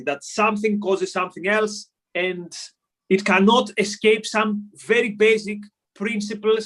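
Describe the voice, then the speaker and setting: A man with a Greek accent talks through an economics topic as a podcast host. His voice sits fairly high for a man, and he moves between quick bursts and slower stretches, with frequent fillers and restarts. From Greece. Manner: animated, with many fillers and restarts. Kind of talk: solo explainer talk.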